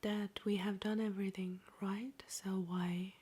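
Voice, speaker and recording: A woman guiding an ASMR meditation in a soft, breathy whisper with a gentle, soothing delivery. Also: mid-range voice, flat delivery. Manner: slow, gentle, soothing delivery with long pauses.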